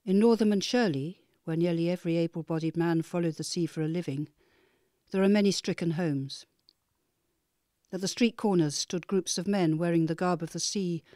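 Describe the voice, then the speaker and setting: A woman playing a sombre newspaper reporter, narrating a documentary drama in a grave, measured way. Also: lowish voice, warm tone, no echo, clean studio sound, natural voice.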